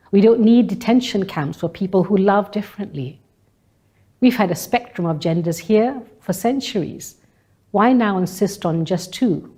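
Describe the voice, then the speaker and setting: Woman, middle-aged, lowish mellow voice, steady emphatic monologue. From Malaysia. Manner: measured, emphatic, repeated refrain. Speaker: speaker. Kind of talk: scripted spoken-word monologue.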